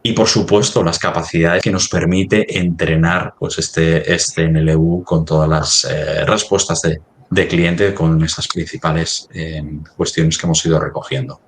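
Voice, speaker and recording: A man presenting a live software demonstration in a webinar, haltingly thinking aloud as he works on screen. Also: low-pitched, steady tone.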